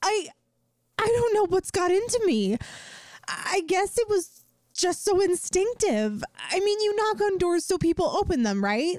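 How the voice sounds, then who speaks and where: A woman's voice: very high-pitched, very animated, a little slow, darkish tone, dry recording, exaggerated voice. A woman playing a ditzy, sheepish young friend in an audio roleplay, talking to the listener in an earnest, self-deprecating, hesitant way. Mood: exasperated, embarrassed. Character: ditzy, sheepish young female friend failing yandere school. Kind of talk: scripted audio roleplay, spoken directly to the listener.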